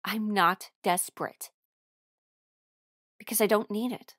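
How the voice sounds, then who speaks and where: Woman acting defensive female musician, emotional, exasperated, audio drama, mid-pitched, very dry recording. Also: steady tone, takes it slowly, a bit bright, no echo. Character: defensive aromantic woman musician rejecting her bandmate's love. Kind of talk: audio roleplay drama monologue.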